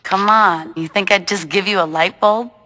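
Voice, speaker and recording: A woman's voice: medium pitch, fairly even intonation, normal speed, background noise, natural voice. An actress playing a laid-back young gangster stoner woman in a video game, casual, slangy and wry. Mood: breezy.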